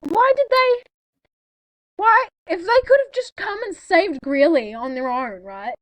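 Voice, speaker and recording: A woman's voice: very high voice, animated, a little slow, no room sound, poor audio. A young woman reading a story aloud in a casual, incredulous way, breaking off into informal asides. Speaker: co-host reading fan fiction aloud. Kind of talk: casual read-aloud with mocking commentary.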